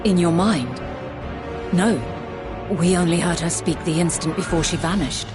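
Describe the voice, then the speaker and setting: Woman as a low-voiced, serious game companion. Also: measured pace, music in the background, natural voice. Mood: concerned. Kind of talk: dramatic video game voice acting.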